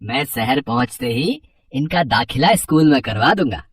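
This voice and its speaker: A woman's voice: rumbling low, expressive, moderate pace, slightly rough, dry recording, studio quality, pitched below the performer's own voice, full character voice. A woman voicing a simple, trusting male street vendor and family man in a moral story, earnest and plain-spoken.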